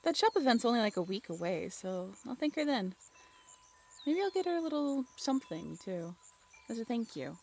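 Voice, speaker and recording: A young woman acting an affectionate, playful girlfriend in a romantic audio roleplay, expressive and teasing. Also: on the high side, moderate pace, creaky, a little hiss or hum. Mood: earnest, tender. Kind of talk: romantic comfort audio roleplay, acted one-sided conversation.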